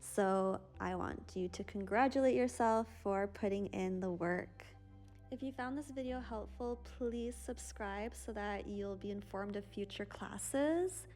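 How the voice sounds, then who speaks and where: Yoga teacher, young woman, sleepy gentle cues with a gravelly edge, small room, soft music under. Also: medium pitch, unhurried. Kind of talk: guided yoga class instruction. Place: small pink-lit room, indoors.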